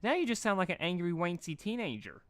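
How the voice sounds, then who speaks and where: A man's voice: high-pitched, very animated, fast, a bit bright, no room sound, voice pushed up. A man voicing a bubbly, childlike pink cartoon pony girl with playful baby talk for a story reading. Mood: perky, sweet.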